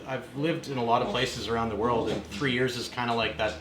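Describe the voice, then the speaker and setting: A man in his forties from Canada, telling stories in a relaxed conversational way with plenty of fillers, as an interview guest in an apartment. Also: mid-range voice, fairly quick, echoey room, over music, rough recording. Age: 40s. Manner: relaxed storytelling with frequent fillers. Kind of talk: casual conversation. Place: apartment room.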